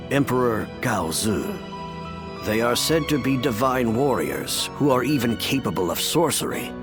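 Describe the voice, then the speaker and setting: Remorseful imperial official, lowish pitch, slow measured pace, formal, solemn, video game drama. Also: male voice. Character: grave, remorseful imperial official and adoptive father. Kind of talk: video game cutscene acting.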